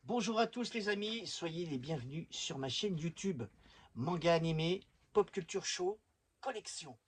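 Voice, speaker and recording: YouTube collector host. Very rough, husky voice at a medium pitch, rambling fan delivery, casual show-and-tell. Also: animated, measured pace.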